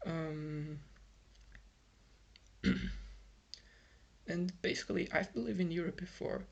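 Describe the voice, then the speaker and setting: A man's voice: on the high side, fairly even intonation, slow, gravelly, muffled recording. A young Brazilian man whose first language is Portuguese, speaking English with a Brazilian accent in a frustrated, rambling vlog full of fillers and restarts. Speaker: vlogger. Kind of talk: casual vlog monologue. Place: home in Ireland.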